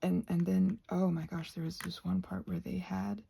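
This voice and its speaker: A woman rambling casually and effusively in a soft ASMR style, often slipping into whispers as she thinks aloud while crafting. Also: on the low side, flat delivery, normal speed, creaky, a little hiss or hum.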